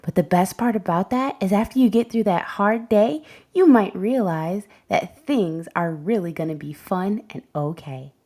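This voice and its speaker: A woman storyteller reads aloud from a children's book. Her phrasing is gentle and deliberate, shaped for young listeners, and her pitch sits in an ordinary middle range. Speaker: read-aloud host and storyteller. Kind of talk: expressive children's picture book read-aloud.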